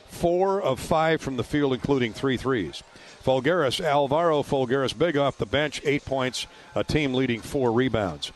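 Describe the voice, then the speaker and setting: Guy doing radio sports halftime stats, kinda halting, medium pitch voice. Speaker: sports radio play-by-play host.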